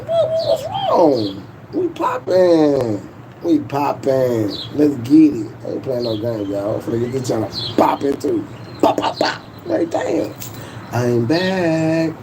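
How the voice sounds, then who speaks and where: A man's voice: mid-range voice, noisy background. A man, hosting a casual livestream in a boastful, profane, laughing way. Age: 40s. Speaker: YouTube livestream host.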